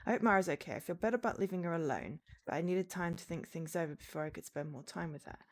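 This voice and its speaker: A woman's voice: on the low side, lively intonation, quick pace. A woman game streamer chatting live and reading game text aloud, breaking off for exasperated asides.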